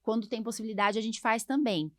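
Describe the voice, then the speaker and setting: Woman podcast host, higher-pitched voice. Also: steady tone, quick pace, fairly bright tone. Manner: explanatory, often checks in with tag questions. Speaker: podcast host, allergist doctor. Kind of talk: casual podcast conversation.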